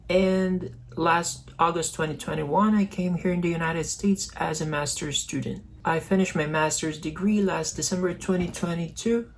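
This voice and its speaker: Filipino man vlogging, slightly creaky higher voice. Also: a little hiss or hum. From Philippines. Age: young adult. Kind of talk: casual vlog talk to camera.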